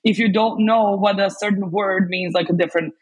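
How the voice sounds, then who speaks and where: A woman's voice: mid-range voice, fairly even intonation, fairly quick, husky, low-quality recording. A woman, a language specialist chatting as an interview guest, talking loosely with lots of fillers and restarts. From Serbia. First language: Serbian. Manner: chatty, many fillers and restarts, laughs often. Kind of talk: casual interview chat.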